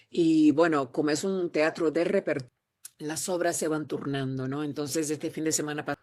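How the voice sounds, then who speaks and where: A woman's voice: deep voice, a little mellow, no room sound. A woman from Peru, an actress answering interview questions in an earnest, encouraging way, often restarting her words over a remote call.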